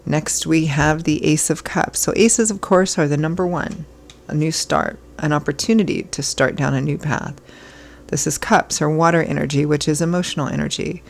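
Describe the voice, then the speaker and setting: A hoarse woman giving a casual tarot reading as host, chatty and reassuring, her voice still froggy from illness. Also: sits low, steady tone, moderate pace. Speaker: tarot reader.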